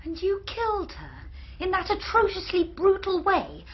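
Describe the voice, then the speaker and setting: An excitable woman in a radio mystery. Her voice is strikingly high and lively in its pitch. The sound is a little muffled. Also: a little slow, full character voice. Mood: shocked, agitated. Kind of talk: radio drama acting. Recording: dull band-limited radio drama recording.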